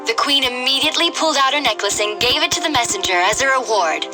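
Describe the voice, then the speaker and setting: A woman telling a fairy tale for children with a high, very lively voice and an expressive reading style. Loud background music plays beneath her narration. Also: fast talker. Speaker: storyteller.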